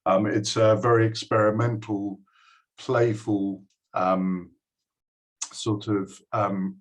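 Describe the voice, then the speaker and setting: A middle-aged man with a fairly low voice. He speaks hesitantly to a school audience, filling gaps with ums and restarting his sentences. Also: level tone, slow pace. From United Kingdom. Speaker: guest speaker addressing a school. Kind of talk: recorded video address.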